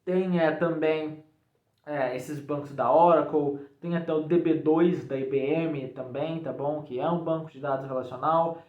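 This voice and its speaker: Higher-voiced Brazilian man, echoey room. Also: fairly even intonation, a little slow, highs cut off. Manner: informal, frequent check-in tags. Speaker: programming tutorial YouTuber. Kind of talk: casual explainer to viewers.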